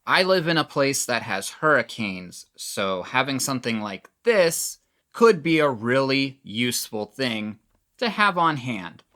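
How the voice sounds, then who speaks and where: A man's voice: medium pitch, big pitch swings, a little slow, bright, forceful tone. A man giving a casual, plain-spoken product review, explaining features as he demonstrates them.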